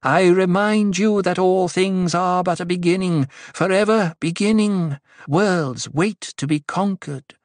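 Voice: mid-range voice, unhurried, hardly any pauses, no echo, voice pushed up, lightly acted. A narrator voicing a fierce young prophetess in an audiobook, commanding and intoning cryptic pronouncements to a crowd. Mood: solemn, intoning. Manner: commanding, ritual intoning that turns sharp.

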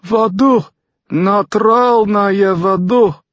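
A man voicing a bleary, blunt Russian drunk in his thirties, croaking in broken English with a Russian accent. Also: sits high, takes it slowly, fairly bright tone, dry recording, dull audio, pitched above the performer's own voice. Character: hungover bearish Russian pilot, blunt and bargaining, mid-thirties.

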